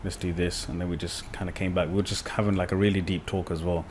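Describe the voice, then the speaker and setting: Young man, from the UK, podcast guest, lowish voice, rambling casual chat, faint background hiss. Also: fast talker. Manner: relaxed, rambling, many fillers like "like" and "you know".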